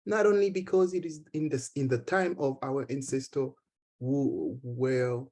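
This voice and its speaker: A man from Haiti with a Haitian accent, giving an earnest conference talk and taking questions, halting at times with repeated words. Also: mid-range voice, darkish tone. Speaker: conference speaker. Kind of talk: conference speech then Q&A.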